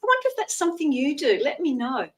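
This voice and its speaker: Older Scottish woman, gentle and reassuring. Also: sits high, big pitch swings, fast talker, recorded from a distance. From Scotland. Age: 60s. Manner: gentle, reassuring and chatty, with terms of endearment. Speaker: psychic tarot reader. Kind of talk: live-streamed tarot reading.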